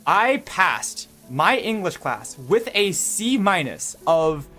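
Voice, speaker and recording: A man's voice: sits high, big pitch swings, slow, bright, forceful tone, faint music behind. A young man streaming, chatting casually in a rambling, self-correcting way with lots of fillers. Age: young adult. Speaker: VTuber streamer.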